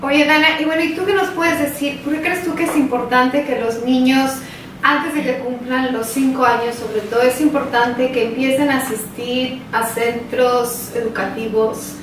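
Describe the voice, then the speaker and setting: Bubbly high-voiced woman radio host. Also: steady tone, moderate pace, a little hiss or hum. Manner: playful, chatty, enthusiastic. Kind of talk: lively radio talk show hosting. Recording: roomy studio heard from a distance.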